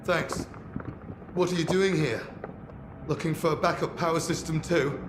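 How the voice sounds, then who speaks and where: Guy doing a cocky game soldier, bit high, blunt and needling, big echo. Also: steady tone, a little slow, close to an everyday voice. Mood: wry, casual. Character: cocky hostile male soldier loyal to his orders. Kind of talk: video game cutscene acting. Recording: echoey room, noisy ambience.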